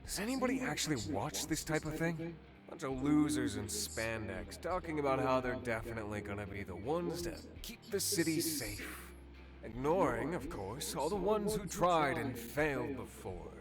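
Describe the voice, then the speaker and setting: A man's voice: medium pitch, lively intonation, normal speed, close to an everyday voice. An actor playing a jaded, sardonic young male superhero in a visual novel, with a dry, grumbling, sarcastic delivery. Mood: jaded, bitter. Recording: music playing loudly behind the voice.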